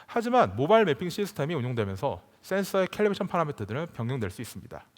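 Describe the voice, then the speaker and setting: Guy doing a formal tech conference talk, pitch moves up and down a lot, big echoey hall. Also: big pitch swings, very fast. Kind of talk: formal prepared technical presentation. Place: large conference hall stage. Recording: reverberant hall PA sound.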